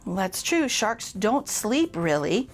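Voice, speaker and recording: A woman's voice: mid-range voice, big pitch swings, slow, faint music behind. A woman reading a picture book aloud to children as a storytime host, exclamatory and asking her young listeners questions.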